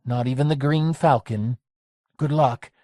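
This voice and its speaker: A hard-edged, streetwise woman , played by a male audiobook reader, snaps her lines curtly. His voice sits higher than usual, and the sound is dry and close. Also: measured pace, a little mellow, no echo, natural voice. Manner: curt, dismissive. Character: tough blunt streetwise woman.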